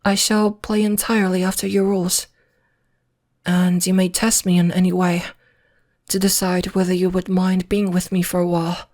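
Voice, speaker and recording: Woman acting as courtly vampire lady, British accent, lowish pitch, very even intonation, soft and breathy, flirty, possessive, formal, ASMR roleplay. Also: moderate pace, natural voice. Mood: courteous, smitten. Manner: formal, archaic, courteous phrasing.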